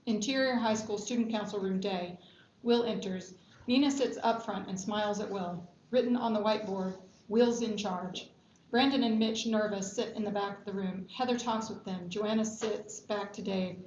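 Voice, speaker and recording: A woman's voice: medium pitch, steady tone, normal speed, a little mellow. A woman reading screenplay stage directions as scripted narration at a table read. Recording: echoey room, dull lo-fi, faint noise.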